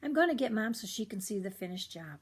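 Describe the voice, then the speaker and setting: An older woman voicing an excited young boy in a children's storybook, exclaiming and asking questions. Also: medium pitch, fast talker, husky. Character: excited young boy, keen to make money.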